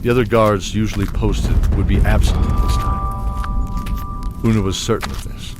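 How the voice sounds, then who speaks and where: Man, fantasy drama narrator, deep voice, measured delivery, loud score behind. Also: fairly even intonation. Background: dramatic music score.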